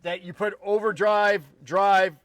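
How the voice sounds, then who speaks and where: Higher-pitched man pointing out car details. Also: little pitch movement, a little slow, a bit bright. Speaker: car show co-host, car expert. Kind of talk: casual hands-on commentary.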